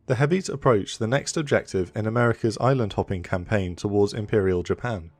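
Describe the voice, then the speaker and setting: A man's voice: lowish voice, fairly quick, darkish tone, soft background music. A man reading scripted narration for a history documentary.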